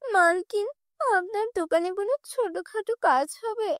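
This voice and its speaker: A woman voicing a gentle, downtrodden young stepdaughter in a cartoon fairy tale, plaintive and pleading. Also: extremely high pitch, moderate pace, no room sound, studio quality, pitched above the performer's own voice. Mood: timid.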